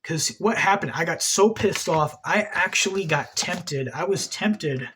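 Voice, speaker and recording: A man's voice: a little high, expressive, unhurried, a bit bright, slight background noise. A man hosting an occult video, talking in a rambling, agitated monologue, swearing a lot and repeating himself.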